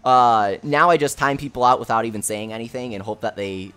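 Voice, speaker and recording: A man's voice: medium pitch, fairly quick, fairly bright tone, smooth. A young man streaming live, reading chat messages aloud in an upbeat way, talking close to his mic. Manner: upbeat, reads chat aloud with frequent laughs. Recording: faint game music behind. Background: game music.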